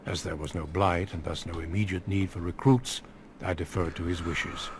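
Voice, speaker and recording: An actor playing a courteous, solemn middle-aged veteran warrior in a video game, speaking in a formal, measured way. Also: male voice, deep voice, steady tone, noisy background. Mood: earnest, measured. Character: courteous, solemn veteran Grey Warden recruiter, a man. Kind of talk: video game dialogue acting.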